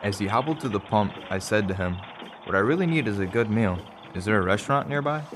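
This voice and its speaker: A middle-aged man playing a wry, measured father who narrates a strange roadside tale in a radio play. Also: on the low side, animated, noisy background, lightly acted. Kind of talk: scripted first-person radio play narration. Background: music.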